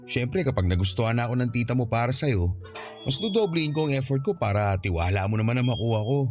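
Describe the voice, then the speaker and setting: A man's voice: mid-range voice, sing-song, fast, darkish tone, soft background music, natural voice. A man playing a confident, polite young suitor in a radio drama, speaking easily with a Filipino accent. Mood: confident, teasing. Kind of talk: Tagalog radio drama acting.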